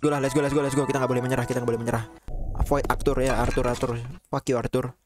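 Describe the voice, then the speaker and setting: Young man over loud game music. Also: mid-range voice, flat delivery, very fast. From Indonesia. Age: young adult. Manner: panicky, repetitive muttering, slangy with English swearing. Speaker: gaming video host. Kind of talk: casual horror game commentary. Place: small room indoors.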